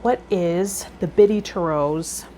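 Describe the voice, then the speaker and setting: A woman's voice: lowish voice, takes it slowly, mellow. A woman hosting a tarot vlog, reading card meanings aloud and adding casual commentary of her own. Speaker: tarot vlogger and healer hosting a series. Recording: noticeable background noise.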